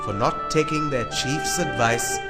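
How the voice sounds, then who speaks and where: A man's voice: medium pitch, measured pace. A man narrating a scripted story for children. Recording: loud music behind voice.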